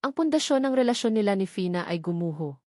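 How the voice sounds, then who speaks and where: A woman's voice: medium pitch, expressive, fast, warm tone, no echo, clean studio sound. A woman with a Filipino accent narrating a scripted story in a dramatic storytelling style. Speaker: audiobook narrator.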